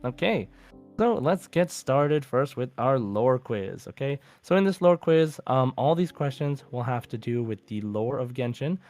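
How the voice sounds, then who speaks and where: A man's voice: medium pitch, lively intonation, unhurried, a little mellow. Male quiz podcast host over music. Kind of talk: casual hosting of a quiz show.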